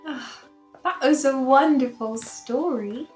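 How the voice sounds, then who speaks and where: A woman reading a children's picture book aloud in an expressive, theatrical storytelling manner. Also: sits high, slow pace, soft background music. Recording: roomy echo.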